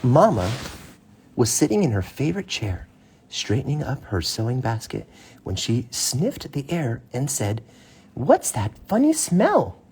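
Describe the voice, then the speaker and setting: Husky man reading a bedtime story. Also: medium pitch, big pitch swings, a little mellow. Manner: slow, unhurried reading with casual asides. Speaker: father reading a bedtime story to his child. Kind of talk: casual chat and storybook reading aloud. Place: child's bedroom, indoors.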